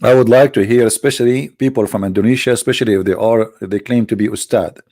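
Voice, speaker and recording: A man hosting a polemical livestream with a slight cold, talking in a mocking, rhetorical way that swings between loud emphasis and low asides. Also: fast talker, dry recording, clean studio sound. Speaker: host of a religious debate channel.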